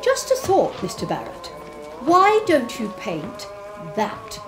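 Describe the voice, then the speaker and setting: A woman's voice: fairly high pitch, huge pitch swings, slow pace, music in the background, heavily acted. A woman performing a prim, exacting Victorian nurse in a precise, formal, indignant dramatic poetry monologue. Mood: stern, indignant.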